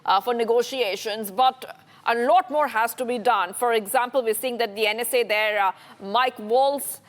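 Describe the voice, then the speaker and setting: A woman's voice: a little high, moderate pace, in a large hall. A woman anchoring a live television news interview, putting questions to a guest.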